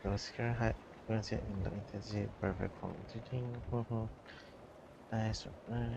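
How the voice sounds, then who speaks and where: Male game streamer, Filipino accent, lowish pitch, gravelly fry, casual commentary, music in background. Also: flat delivery, moderate pace, dull audio, background noise. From Philippines.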